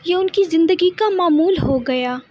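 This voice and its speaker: A woman's voice: very high voice, a little slow, lo-fi sound, noisy background. A woman narrating a scripted children's story as its storyteller.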